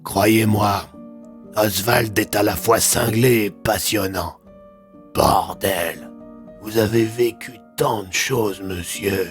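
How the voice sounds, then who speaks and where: A man's voice: fairly low pitch, steady tone, slow pace, soft background music. A man voicing a weary, blunt police commissioner in an audio drama, explaining things at length with mild swearing. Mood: stunned, explaining.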